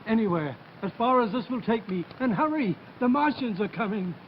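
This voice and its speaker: A panicked man on the run in a melodrama. His high voice is urgent and demanding. The recording is dull with slight noise. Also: expressive, a little fast. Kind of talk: melodramatic screen drama acting.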